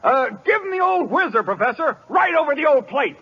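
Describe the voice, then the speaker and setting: An actor playing a chattering, boisterous young baseball catcher with slangy talk, in 1940s radio style. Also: male voice, extremely high pitch, sing-song, a little fast. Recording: dull old radio broadcast.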